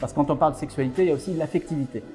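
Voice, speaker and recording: A man's voice: fairly high pitch, very fast, dark tone, faint music behind. A middle-aged man from Algeria, a psychiatrist and author, answering interview questions with many fillers and restarts.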